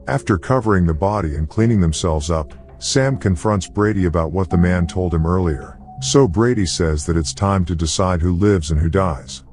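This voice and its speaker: Very deep-voiced man narrating over music. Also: a little mellow. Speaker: movie recap narrator. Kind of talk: scripted narration.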